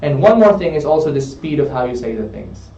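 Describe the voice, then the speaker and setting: A man's voice: mid-range voice, very animated, fairly quick, dark tone, echoey room. Man, English tutor, explanatory, checks in often, distant mic, dull sound, faint room hum. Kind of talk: coaching a student in a studio chat. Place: studio room.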